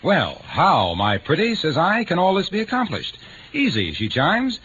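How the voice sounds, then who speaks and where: An American man with a lively, swinging pitch tells a folksy sponsor story in a jaunty, theatrical way. The vintage radio recording is dull with faint noise. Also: moderate pace. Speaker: radio commercial announcer.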